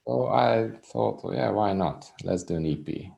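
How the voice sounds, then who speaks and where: Slow, gravelly Hungarian-accented man. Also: fairly low pitch, expressive. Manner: reserved, soft-spoken, unhurried. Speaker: musician guest. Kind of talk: casual interview chat.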